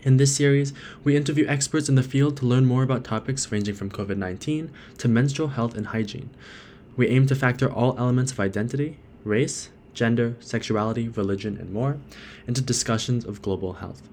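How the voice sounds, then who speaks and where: Young student podcast host, eager. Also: male voice, medium pitch, a little fast, a little mellow, a little hiss or hum. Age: young adult. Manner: eager, hedging questions full of "like". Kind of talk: podcast intro and interview questions.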